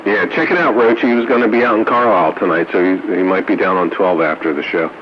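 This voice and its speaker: Male radio operator, deep register, casual talk, clearly noisy transmission. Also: quick pace. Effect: two-way radio transmission. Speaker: radio operator in a group chat. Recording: muffled, noisy two-way radio.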